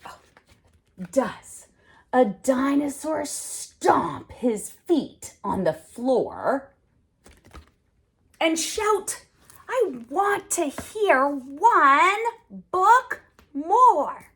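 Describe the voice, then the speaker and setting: A middle-aged woman hosting a storytime for small children, reading aloud in an expressive, exaggerated way with dramatic pauses. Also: high voice. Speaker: host of a children's sign language storytime.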